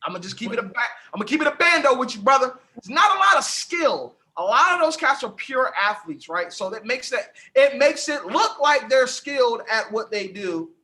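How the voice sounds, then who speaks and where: A man with a strikingly high, very bright voice argues his point in a casual sports podcast. His delivery is lively and emphatic, sprinkled with "bro.". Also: moderate pace, hardly any pauses. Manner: emphatic, argumentative, calls people bro. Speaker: podcast co-host. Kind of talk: heated casual debate.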